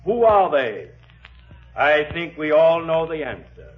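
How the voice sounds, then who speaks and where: An actor playing a solemn, earnest preacher delivering a measured, oratorical sermon in a 1940s radio drama. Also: male voice, fairly high pitch, very animated. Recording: muffled 1940s radio recording, music behind.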